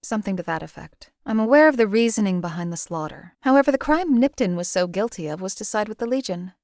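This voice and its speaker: A woman voicing a cold, emotionless wasteland assassin for a video game, with a detached, deadpan, formal and articulate delivery. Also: medium pitch, quick pace, no room sound, clean studio sound, natural voice. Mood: coolly critical. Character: cold, emotionless female assassin for hire in a post-apocalyptic wasteland. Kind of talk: scripted video game character dialogue.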